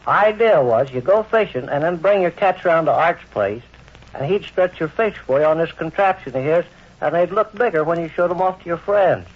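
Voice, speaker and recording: An actor playing a garrulous, oblivious old uncle with a folksy manner in a vintage radio comedy. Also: male voice, fairly high pitch, animated, moderate pace. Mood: earnest, rambling. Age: older. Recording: dull old radio recording.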